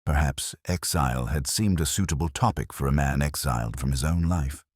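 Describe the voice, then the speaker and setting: A man narrating a scripted first-person detective story in a measured, literary and formal way. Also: extremely low pitch, no room sound, clean studio sound.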